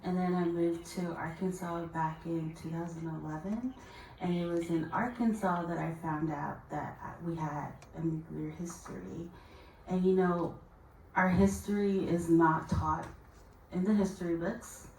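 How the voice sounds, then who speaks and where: A woman from Hawaii with a slightly low voice talks earnestly and casually, leaning on "you know." She is recorded from a distance in an echoing conference room with faint ambience. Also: steady tone, a little slow, darkish tone, a little hiss or hum. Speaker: youth panelist. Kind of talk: panel answer. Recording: echoey conference room from far off.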